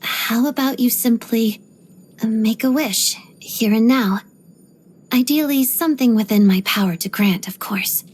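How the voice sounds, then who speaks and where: A young woman ballerina from a video game, with a soft, breathy voice that often thins toward a whisper. She speaks in a composed, measured way, polite but guarded. Beneath the discipline sits a proud, quietly haunted temper. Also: on the high side, poor audio. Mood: polite, hesitant. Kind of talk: video game character voice lines.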